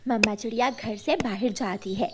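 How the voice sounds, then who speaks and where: A woman narrating a children's cartoon story in a steady, clear way. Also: medium pitch, normal speed, background noise.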